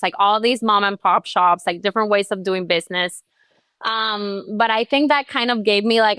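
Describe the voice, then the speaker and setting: A woman's voice: mid-range voice, fairly bright tone, clean tone, dry recording. A young woman from Colombia, a real estate agent, chatting casually as a guest in an interview, full of "like" and "you know" fillers.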